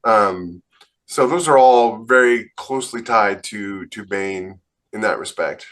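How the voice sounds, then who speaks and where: A man's voice: fairly low pitch, slow, fairly bright tone. A man co-hosting a podcast, chatting casually in a matter-of-fact, opinionated way. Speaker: podcast co-host. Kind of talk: casual group podcast chat.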